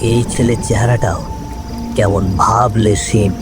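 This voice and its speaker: A man's voice: lowish voice, fairly even intonation, measured pace. Male ghost-story narrator over prominent music.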